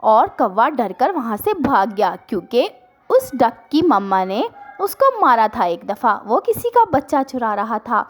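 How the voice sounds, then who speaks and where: High-pitched, animated woman storyteller. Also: a little slow, soft background music. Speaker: children's storyteller. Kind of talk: scripted storytelling for children's cartoon.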